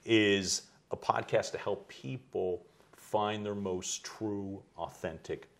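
A middle-aged man from the United States, a voice coach being interviewed at home, who talks loudly and animatedly and laughs easily. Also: fairly low pitch, creaky. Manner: loud, animated storyteller with quick laughs. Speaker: guest, executive voice coach. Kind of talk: conversational interview. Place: his home.

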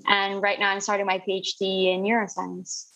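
Flat-voiced young woman from Spain. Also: mid-range voice, normal speed. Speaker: PhD student guest. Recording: dull lo-fi audio.

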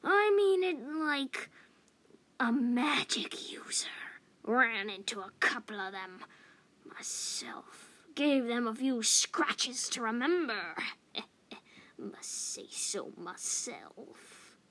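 A woman voicing an ancient female cat in a storybook, croaky, gruff, bossy and folksy. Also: fairly high pitch, expressive, very slow, fairly bright tone, full character voice. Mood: grumbling, boastful. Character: crotchety, ancient, tough female stray cat.

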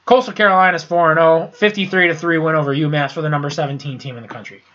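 Congested, foul-mouthed young man with a bright voice. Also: a little high, fairly even intonation, fast, muffled recording. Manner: profane, blunt and rambling, with frequent restarts. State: congested with a sinus infection. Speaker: sports podcast host. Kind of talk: casual solo sports recap.

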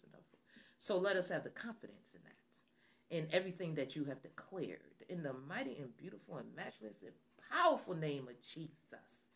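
A middle-aged woman preaching on the radio, opening with prayer and then asking rhetorical questions and repeating phrases. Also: low voice.

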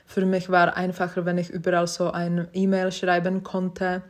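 A woman's voice: fairly low pitch, monotone, moderate pace. A young woman hosting her own podcast, speaking German as a second language in a hesitant, reflective way with many fillers and restarts. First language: not German. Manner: self-reflective, encouraging. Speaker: podcast host. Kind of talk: casual personal monologue.

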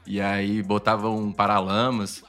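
A Brazilian man in his thirties, a guest musician, talking casually in a relaxed, rambling way with many fillers and laughs. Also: on the low side, unhurried, soft background music. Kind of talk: casual interview chat.

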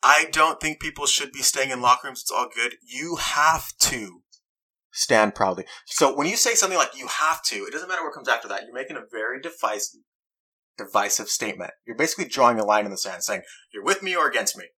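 A young man with a bright, forceful voice hosts a casual chat. He argues his side earnestly and stops to read quotes aloud. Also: medium pitch, lively intonation, a little fast, husky, low-quality recording. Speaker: podcast host.

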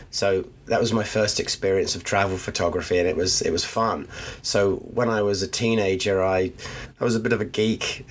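A man who is a photographer, congested from the flu, explaining his ideas thoughtfully on a podcast from his studio over the line. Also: on the low side. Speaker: guest photographer. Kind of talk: podcast interview. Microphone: phone line.

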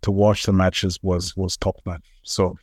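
Enthusiastic Ghanaian man, low voice. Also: completely flat delivery, measured pace, a little mellow, no room sound, studio quality. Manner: enthusiastic, frequent fillers and restarts. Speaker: guest, sports marketer. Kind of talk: conversational interview answers.

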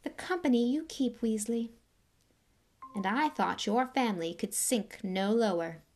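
A woman voicing a cold, haughty aristocratic wizard man, speaking quietly with contempt. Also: fairly high pitch, a little slow, pitched above the performer's own voice. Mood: contemptuous.

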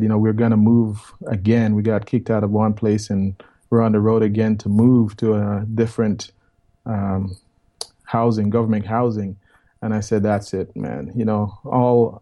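A man in his fifties from Jamaica, with a Jamaican accent, telling his life story as an interview guest in a rambling, relaxed way, repeating words and saying "man". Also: lowish voice, moderate pace, highs cut off. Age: 50s.

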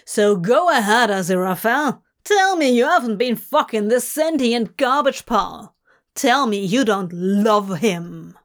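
A woman's voice: a little high, expressive, slow. A woman voicing a furious, hateful male archangel in a story reading, growling and mocking as he threatens. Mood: menacing. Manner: growling, snarling, roaring. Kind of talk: dramatic audiobook-style fiction reading.

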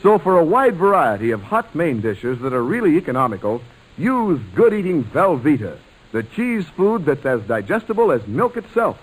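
A male American announcer in classic 1940s radio style. His fairly high voice is very animated and a little husky. He reads scripted copy with polished persuasion. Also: moderate pace, poor audio.

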